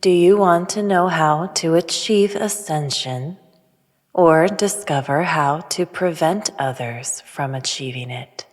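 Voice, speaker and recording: An actress playing a serene, preachy female alien spiritual teacher in an audio drama, speaking in a solemn, measured way. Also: deep voice. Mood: stern. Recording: long reverb, music underneath.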